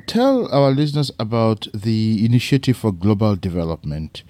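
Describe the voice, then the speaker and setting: A man hosting a radio interview, introducing his guest and asking questions in a formal, unhurried way. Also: lowish voice, very animated, warm tone. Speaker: radio show host.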